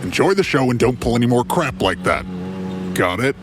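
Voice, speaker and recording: An actor playing a bored, sarcastic nightclub doorman with a gruff, deadpan, dismissive manner in a comedy audio drama. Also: mid-range voice, normal speed, noisy background, natural voice. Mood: exasperated.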